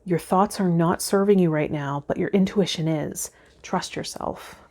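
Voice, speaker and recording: Female tarot vlog host, lowish voice, spiritual guidance addressed straight to viewers. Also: a little slow, darkish tone. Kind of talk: spiritual guidance, part read aloud, part casual talk to viewers.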